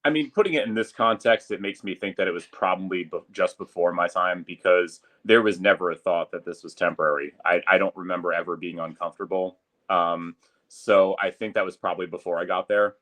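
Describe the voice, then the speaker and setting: Deep-voiced young man, chatty and eager. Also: brisk, a bit bright. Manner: enthusiastic, loose and chatty, leans on fillers like "kind of thing". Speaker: arena public address announcer, guest. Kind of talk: casual podcast interview.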